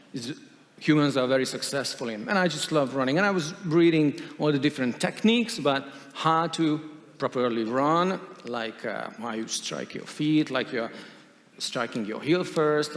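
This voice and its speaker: A man giving a conference talk on stage, hesitant, with many fillers and restarts. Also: mid-range voice. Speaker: conference speaker. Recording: long hall reverb.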